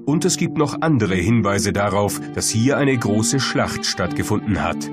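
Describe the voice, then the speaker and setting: A man's voice: fairly low pitch, lively intonation, unhurried, music in the background. A man reading scripted narration as the narrator of a documentary.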